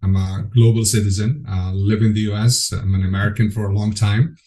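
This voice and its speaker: Enthusiastic Egyptian-born man, lowish voice. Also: fairly even intonation, brisk, mellow. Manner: earnest, enthusiastic, frequent restarts. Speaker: guest, program director. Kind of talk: conversational interview.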